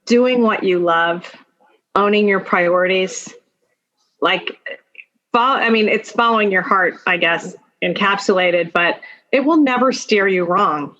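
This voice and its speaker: A woman's voice: mid-range voice, a little slow, fairly bright tone. Dull-sounding recording, informal woman podcast guest. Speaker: podcast guest, attorney and executive. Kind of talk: conversational interview answers.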